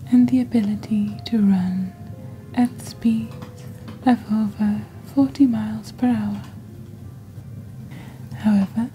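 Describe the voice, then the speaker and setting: A woman voicing a witch who hosts a potion shop in soft, whispery, breathy ASMR, speaking slowly and deliberately in a measured sales patter. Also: medium pitch, natural voice, low-quality recording. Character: witch shop host peddling dark potions and poisons. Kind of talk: soft-spoken ASMR shopping-channel presentation.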